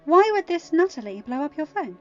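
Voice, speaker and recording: A woman voicing a gentle, perceptive mother goddess in an audiobook, probing with quiet skepticism. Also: sits high, sing-song, brisk, voice pushed up. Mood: gently probing. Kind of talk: acted audiobook dialogue. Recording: dull lo-fi home recording, music underneath.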